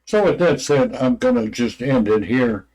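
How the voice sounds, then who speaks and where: A man reading an old religious memoir aloud in a halting way, stumbling over words and names. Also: mid-range voice, animated, darkish tone, poor audio.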